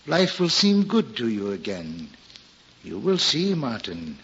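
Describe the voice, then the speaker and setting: A bright-voiced, devout old pilgrim. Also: male voice, medium pitch, huge pitch swings, heavily acted. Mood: earnest counsel. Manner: measured, solemn, old-fashioned formal speech. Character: devout old pilgrim, earnest, gently admonishing friend. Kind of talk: 1940s radio drama acting. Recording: muffled 1940s radio recording, faint noise.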